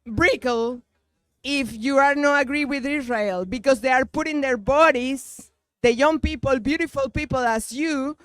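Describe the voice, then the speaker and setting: A woman with a Latin American Spanish accent argues in a heated street debate. Her voice sits high and her pace is slow, with many halts and repeated words while she hunts for the English. The sound is close and dry. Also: no room sound. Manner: halting, searching for words, repeats herself.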